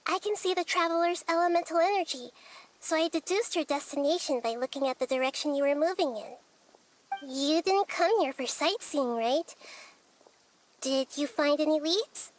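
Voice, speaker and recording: A woman voicing a small, wise child goddess in a video game, speaking gently in calm, measured, reflective phrases. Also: high voice, close to an everyday voice. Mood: composed, hopeful. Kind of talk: video game cutscene acting.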